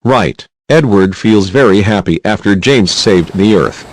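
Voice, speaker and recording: A man's voice: lowish voice, animated, a little slow, slight background noise, poor audio. A man reading scripted narration for a children's quiz video, with simple prompts in non-native English phrasing.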